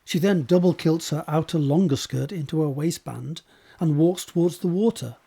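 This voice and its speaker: A man reading scripted scene descriptions as a narrator, in a measured, descriptive way. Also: on the high side, creaky, warm tone, slightly rough. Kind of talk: scripted narration of stage directions.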